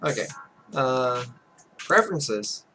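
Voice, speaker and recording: A man's voice: medium pitch, big pitch swings, slow, bright, forceful tone, soft background music. A man hosting a casual let's play, reading visual novel text aloud and rambling in muttered asides. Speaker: host of a gaming video.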